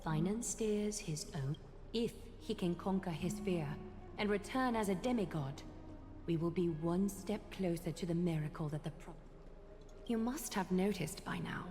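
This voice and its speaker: Woman voicing solemn noble leader, measured, refined, low-ish, game cutscene, long reverb. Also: darkish tone, lightly acted. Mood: solemn, reverent. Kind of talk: video game voice acting. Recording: reverberant game audio under music.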